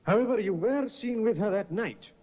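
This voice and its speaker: Shrewd courtly defense barrister, measured and probing, slightly raised voice, muffled old radio sound. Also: male voice, sits high, animated. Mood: reassuring. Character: shrewd, polished male defense barrister, middle-aged. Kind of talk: 1950s radio drama acting. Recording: dull lo-fi old radio broadcast.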